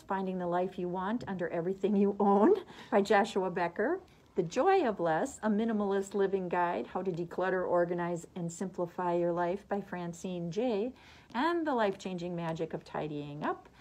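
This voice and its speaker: A woman's voice: mid-range voice, darkish tone. A middle-aged woman, a librarian recommending books and reading summaries, her voice slightly muffled by a face mask.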